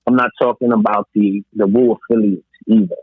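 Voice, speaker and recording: A man's voice: on the low side, little pitch movement, fairly quick, slightly rough, no echo. An American man in a casual podcast debate about music, speaking emphatically and repeating himself for stress. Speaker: podcast host discussing music. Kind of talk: casual hip hop debate. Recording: muffled narrow radio-like sound.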